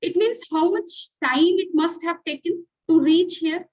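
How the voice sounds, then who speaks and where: A woman's voice: very high-pitched, unhurried, darkish tone. A young Indian woman teaching physics in an online video class, mixing English and Hindi and often asking "right?" to check understanding. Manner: switches between English and Hindi, asks checking questions.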